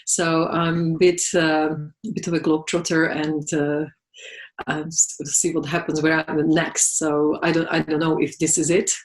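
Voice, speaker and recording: A woman's voice: sits low, a little slow, poor audio. Chatty middle-aged woman with a Slovak accent. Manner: chatty and animated, with frequent fillers and laughs. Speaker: guest, massage therapist and business owner. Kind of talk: casual interview chat.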